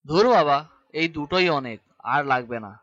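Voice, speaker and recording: A man's voice: a little high, animated, normal speed, fairly bright tone, no echo, dull audio. A man playing a burdened, irritable young working son in a cartoon, with a sarcastic edge. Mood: exasperated. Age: young adult. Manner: exasperated, sarcastic. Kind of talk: cartoon voice acting.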